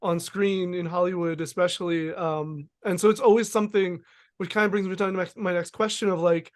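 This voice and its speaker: High-voiced man hosting a podcast. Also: steady tone, a little fast. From United States. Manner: thoughtful, many fillers and hedges. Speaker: podcast host. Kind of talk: casual interview chat.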